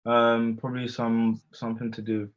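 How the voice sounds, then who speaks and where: A man talks casually over a video call, chiming in with slang and sudden bursts of emphasis. His voice sits at an average pitch. The call audio is dull and lo-fi. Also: normal speed. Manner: animated, interjects with slang. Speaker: co-host. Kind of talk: casual webinar chat. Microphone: video call mic.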